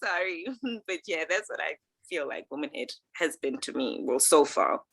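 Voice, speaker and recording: Young woman guest, low voice, laughs often, loose chatty phrasing. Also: expressive, moderate pace, gravelly, fairly bright tone. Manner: giggly, lots of "like" and "you know". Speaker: guest, student.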